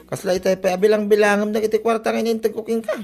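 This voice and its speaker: A man's voice: high voice, level tone, quick pace, vocal fry, a little mellow, faint music behind. A man with an Ilocano accent playing a grumbling, thrifty husband and father in a comedy drama, exasperated about money.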